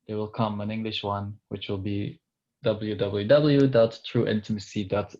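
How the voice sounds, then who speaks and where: A man's voice: fairly low pitch, steady tone, moderate pace, darkish tone. Male coach, native Dutch speaker, hesitant and searching, muffled recording. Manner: hesitant, many fillers and restarts. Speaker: touch and intimacy coach. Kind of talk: video call interview.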